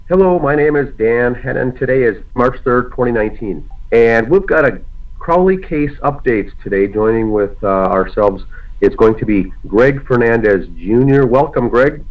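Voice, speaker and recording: A man hosting a casual discussion broadcast, slightly hoarse from a cold, talking haltingly with many fillers and restarts. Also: mid-range voice, expressive. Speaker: podcast host. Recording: lo-fi muffled audio.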